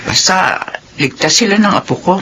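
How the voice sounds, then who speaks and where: A middle-aged widowed mother in a classic radio drama, earnest and devoted, with a bright, forceful voice. The old recording has faint noise. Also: on the low side, lively intonation, normal speed.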